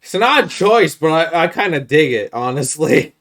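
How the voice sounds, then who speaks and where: A man's voice: on the high side, very animated, moderate pace, a bit bright. Informal, slangy man in casual podcast chat. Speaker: podcast host.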